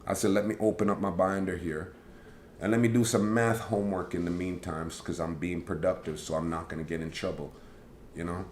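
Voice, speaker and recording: A man with a big, booming voice, hosting a casual live stream and telling a rambling, animated story from his school days. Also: fairly quick. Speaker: host. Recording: echoey room with faint background noise.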